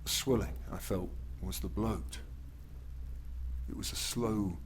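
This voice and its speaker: An undead plague victim narrating his own horror in an audio drama. The voice is fairly low and very slow, haunted and halting, slipping into breathy murmurs over faint background noise. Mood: haunted, grim.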